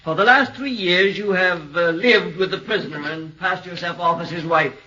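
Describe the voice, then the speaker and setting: A formal prosecutor questioning a witness, in a fairly high, bright voice with deliberate pacing. It sounds dull and lo-fi, like an old radio broadcast. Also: male voice. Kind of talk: 1940s radio acting.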